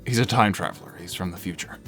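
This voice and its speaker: A man voicing a gruff, aloof hedgehog in a cartoon fan dub, with dry, formal, grudging delivery. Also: sits low, fast talker. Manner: deadpan, formal phrasing. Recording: background music under voice.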